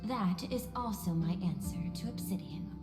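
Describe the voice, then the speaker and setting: A woman playing a coolly logical female machine steward in a video game, speaking formally and precisely with measured detachment. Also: on the low side, lively intonation, close to an everyday voice. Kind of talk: scripted video game voice acting. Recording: echoey hall reverb, music behind.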